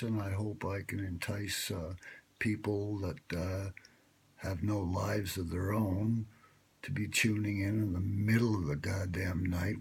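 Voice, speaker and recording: A man with a low-pitched, husky voice rambles through a late-night monologue, full of ums and self-mocking asides. He sounds close to the phone he records on. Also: a little mellow. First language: English. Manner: meandering, wry, self-mocking, many fillers. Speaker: vlogger pitching a radio show. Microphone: smartphone held close.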